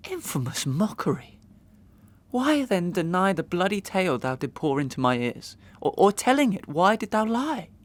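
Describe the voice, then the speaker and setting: An actor playing an anguished, wronged young gentleman in a Victorian audio melodrama, speaking in declamatory, archaic, impassioned style with a creaky voice. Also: sits high, very animated, moderate pace. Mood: outraged, desperate. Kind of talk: Victorian stage melodrama acting in an audio drama.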